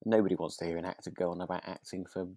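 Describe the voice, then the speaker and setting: Low, creaky man chatting. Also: steady tone, very fast, mellow, dry recording, studio quality. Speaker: podcast co-host. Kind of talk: relaxed chat about a radio drama.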